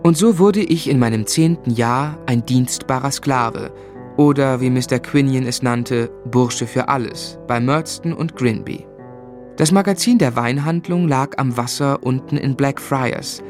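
An actor narrating as a grown man looking back on a lonely boyhood, in measured literary German radio drama style. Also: mid-range voice, darkish tone, faint music behind, lightly acted. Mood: reflective. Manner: reflective, measured storytelling.

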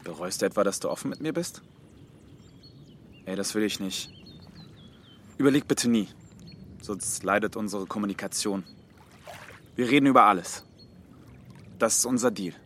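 A man's voice: lowish voice, normal speed, pauses often, fairly bright tone, a little hiss or hum, close to an everyday voice. An actor playing a frank, loyal, bookish male friend with a dry manner in a radio drama. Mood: insistent, caring. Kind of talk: radio drama dialogue and narration.

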